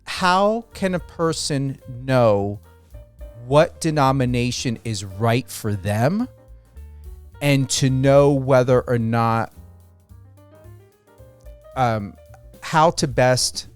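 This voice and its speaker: A man with a clean, resonant voice of average pitch hosts a radio interview. He speaks off the cuff, rephrasing and searching for wording as he goes. Faint music accompanies his voice. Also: extremely slow, long pauses, smooth. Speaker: radio show host. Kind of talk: unscripted radio interview and sign-off.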